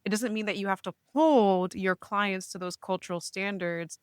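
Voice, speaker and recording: A woman's voice: mid-range voice, moderate pace, smooth, no room sound, clean studio sound. A woman, a therapist speaking reflectively in a casual podcast chat, often checking in with "right?". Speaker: therapist, guest.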